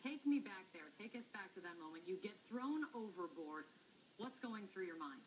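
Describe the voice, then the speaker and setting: A woman's voice: mid-range voice, animated, moderate pace, a little mellow, slight background noise, poor audio. Upbeat woman news anchor. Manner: upbeat, emphatic presenter delivery.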